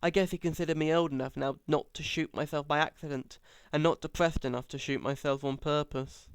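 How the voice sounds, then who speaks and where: A man's voice: on the high side, steady tone, fairly quick, clean tone, dry recording. A man narrating an audiobook as an amateur reader, sarcastic, with mocking, exaggerated emphasis.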